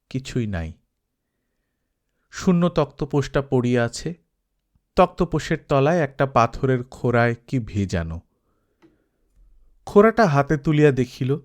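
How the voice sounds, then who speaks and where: A man reading a literary novel aloud as an audiobook storyteller, expressive, with dramatic pauses. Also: medium pitch. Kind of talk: scripted literary narration.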